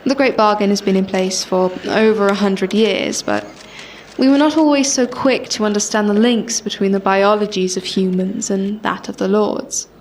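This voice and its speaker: Actress as female chief physician, audio drama, mid register, formal speech, reverent, measured. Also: mid-range voice, fairly even intonation, slight background noise, natural voice, low-quality recording. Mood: reverent, proud. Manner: measured, official, deferential. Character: reverent, devoted female doctor serving a lord. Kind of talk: formal press conference speech.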